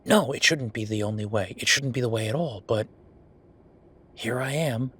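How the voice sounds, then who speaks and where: A man's voice: mid-range voice, normal speed, fairly bright tone. A man playing a frustrated, world-weary werewolf official talking intimately with his partner in an audio roleplay, in a conversational, sighing way. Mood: frustrated, resigned. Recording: clear background noise.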